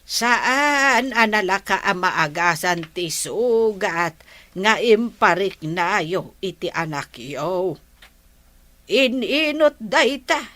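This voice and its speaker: A woman playing a wise elderly mother and grandmother in a radio drama, giving gentle, measured counsel and admonishment. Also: medium pitch, no echo. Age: older.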